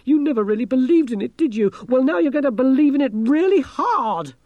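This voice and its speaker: Guy doing a bossy exasperated gnome fella, pitched up, insistent, very up n down. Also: expressive, fairly quick, no room sound, lo-fi sound. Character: determined male gnome leader, exasperated and desperate.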